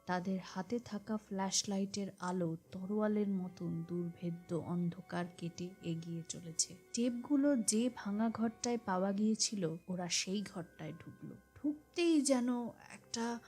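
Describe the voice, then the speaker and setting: A woman narrating a scripted detective suspense story in a measured, dramatic storytelling manner, with phrases that drop to a hush at the end. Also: mid-range voice, husky. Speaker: narrator of an audio story podcast. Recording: voice over a music bed. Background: music.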